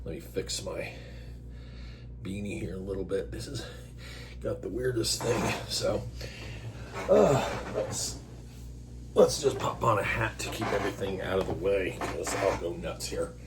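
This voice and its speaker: A man hosting a scripture reading, stopping for casual, self-deprecating asides and clearing his throat through his allergies. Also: sits low, a little slow, long pauses. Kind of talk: Bible reading with informal commentary. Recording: noisy recording with electrical hum.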